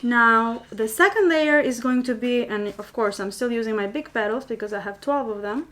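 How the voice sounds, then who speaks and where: A woman's voice: fairly high pitch, normal speed, a bit bright. Chatty woman teaching paper flowers. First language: Albanian. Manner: chatty, switches between languages, fillers and restarts. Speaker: paper flower crafter and tutor. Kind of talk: live-stream craft tutorial, reading comments.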